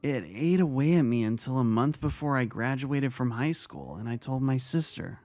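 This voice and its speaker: A man acting a kindly, caring male vice-principal and mentor in a dramatic story reading, speaking gently and earnestly. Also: medium pitch, expressive, normal speed, no room sound, close to an everyday voice. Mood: reflective, confiding. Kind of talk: dramatic reading of fan fiction. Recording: music under the voice.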